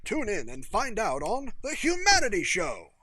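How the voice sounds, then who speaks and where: A man voicing a goofy parody TV presenter with booming, sarcastic showman enthusiasm. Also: huge pitch swings, moderate pace, bright, forceful tone, dry recording, full character voice. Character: goofy parody TV presenter, mock-cheerful and sardonic.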